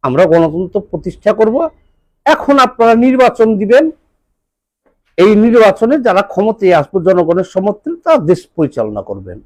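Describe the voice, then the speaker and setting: A Bangladeshi man speaks as an impassioned political commentator in a high-pitched voice. His intonation swings widely as he scolds and drives points home with rhetorical questions. The recording is dry and close, with very little room sound. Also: very animated, normal speed, darkish tone, dry recording.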